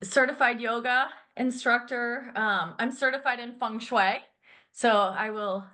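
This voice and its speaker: A woman's voice: a little high, a little slow, pushed and bright. A middle-aged woman, a finance company founder, talking candidly as an interview guest, laughing easily and using many fillers. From grew up in Thailand and England. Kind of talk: casual interview chat.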